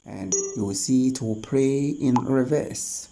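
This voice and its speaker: A tutorial host talking through phone app steps one at a time, in a halting, instructional way. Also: medium pitch, vocal fry, over music. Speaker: host of a tech tutorial channel. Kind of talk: spoken step-by-step screen tutorial.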